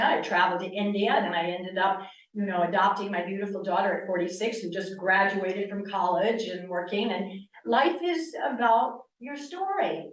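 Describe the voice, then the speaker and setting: A woman's voice: mid-range voice, roomy sound, distant mic, poor audio. Earnest woman in her sixties teaching yoga. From Connecticut, US East Coast. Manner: earnest, animated, asks rhetorical questions. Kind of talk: church talk on yoga. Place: church hall.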